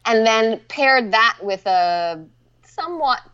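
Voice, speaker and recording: A woman's voice: a little high, lively intonation, slow pace, fairly bright tone, clear, resonant tone, highs cut off. A woman in her forties from Barbados, a lettering artist chatting casually as a guest and often saying "you know.". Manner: chatty, with frequent "you know" fillers and light laughs. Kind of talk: casual interview chat.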